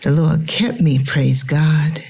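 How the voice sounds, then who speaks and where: A woman's voice: deep voice, fairly even intonation, slow pace, creaky, a little mellow, slightly rough, full character voice. A woman playing a weary, devout enslaved mother with a Southern US accent, tender and prayerful, in a dramatic dialogue.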